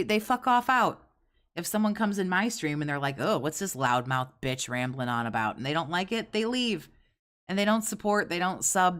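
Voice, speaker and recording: A woman streamer giving loud, profane, mocking live commentary into a desk microphone with a pop filter. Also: on the low side. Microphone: desk USB condenser mic with pop filter.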